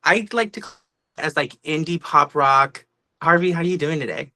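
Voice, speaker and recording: A man's voice: on the high side, normal speed, sharp tone, no room sound. A man hosting a music podcast in a chatty, enthusiastic way with casual, slangy talk. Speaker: podcast host.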